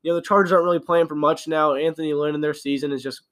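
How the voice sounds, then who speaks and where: A young man with a slight cold rasp talks sports in quick, loose bursts. His voice sits a touch high and moves along at a brisk clip, with plenty of casual fillers. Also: steady tone, a bit bright. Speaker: podcast co-host.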